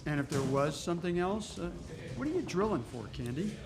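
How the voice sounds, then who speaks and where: An amiable homicide cop acted by a man in an old-time radio play. He talks in a casual, halting, slightly bashful way over clearly audible music. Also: sits high, very animated. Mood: casual, wary. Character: friendly, hesitant male police homicide inspector.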